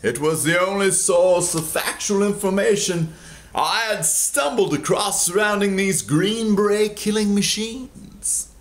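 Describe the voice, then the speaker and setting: A man's voice: high-pitched, measured pace. A man in his forties, from Kansas, reading his own memoir aloud in a theatrical, sardonic way, close to a webcam in a small room at home. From Kansas, USA. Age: 40s. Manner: theatrical, sardonic, bursts into loud emphasis.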